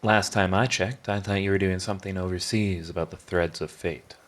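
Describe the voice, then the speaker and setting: Casting: male actor as prickly, begrudgingly charming villain for audio roleplay. Low register, sardonic, theatrical teasing. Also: steady tone, a bit bright. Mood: wry impatience. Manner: sardonic, theatrical teasing, drawn-out mocking delivery. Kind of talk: scripted ASMR roleplay audio drama.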